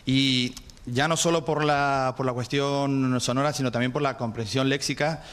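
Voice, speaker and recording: A man's voice: mid-range voice, steady tone, fairly bright tone, clean tone. A man with a Mexican accent, a university lecturer speaking thoughtfully on a panel. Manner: thoughtful, measured, with restarts. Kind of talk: panel discussion.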